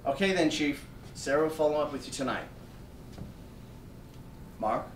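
A man playing a harried, blunt hospital doctor in a staged reading, halting and pressing with questions. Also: fairly high pitch, far from the mic, lightly acted. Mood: pressing, matter-of-fact. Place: theatre stage, indoors. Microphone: far camera. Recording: roomy, noisy stage recorded from afar.

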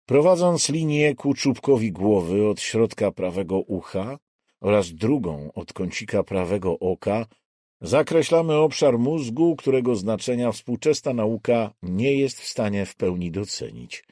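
Mellow male thriller narrator, very animated pitch. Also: a little slow, no echo, studio quality. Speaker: audiobook narrator. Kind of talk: scripted dramatic thriller narration.

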